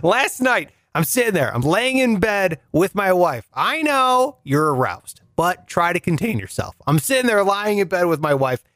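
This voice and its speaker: A young man with a reedy, nasal voice, telling comic stories as a show host in an animated, mock-outraged way. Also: sits high, normal speed, few pauses, no echo, studio quality. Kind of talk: comic monologue.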